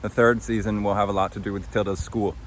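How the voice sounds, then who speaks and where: Low voiced guy podcasting outside, casual and halting, faint background noise. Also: level tone. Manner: hesitant, halting with long pauses. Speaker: podcast host and author. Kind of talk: casual unscripted recap. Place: outdoors under a tree. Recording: outdoor ambient noise.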